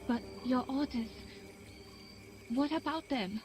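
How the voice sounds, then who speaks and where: Wary jungle guide for action game: high pitch, unhurried, terse broken English. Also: female voice, background noise. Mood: wary, insistent. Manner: clipped sentences, dropped words.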